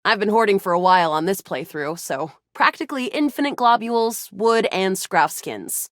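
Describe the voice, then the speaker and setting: A woman voicing a feisty, excitable young resistance fighter in a sci-fi video game, with a dramatic, playful delivery. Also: mid-range voice, normal speed, no room sound, studio quality, close to an everyday voice. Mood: confident, chatty. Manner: animated, dramatic, playful banter. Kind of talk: video game character voice acting.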